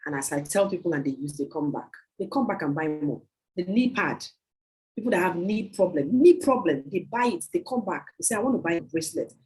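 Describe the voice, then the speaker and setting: Emphatic woman pitching on a video call. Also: expressive, brisk, darkish tone, low-quality recording. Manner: emphatic, repetitive, persuasive. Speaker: host of a product sales meeting. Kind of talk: sales pitch with audience questions. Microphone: computer mic on a video call.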